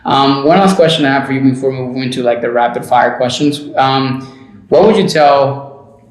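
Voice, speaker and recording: A man's voice: mid-range voice, normal speed, reverberant, low-quality recording. A man in his thirties hosting a podcast interview as a sports dietitian, talking casually with many fillers and restarts. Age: 30s. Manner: rambling, full of "like" and restarts.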